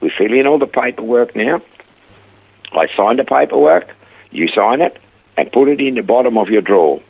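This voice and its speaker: An older man with a Swiss accent telling his life story in a relaxed chat, acting out the voices in his stories. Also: medium pitch, moderate pace, breathy edge, narrow, tinny audio. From Switzerland. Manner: animated storyteller acting out quoted dialogue. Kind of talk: casual storytelling interview.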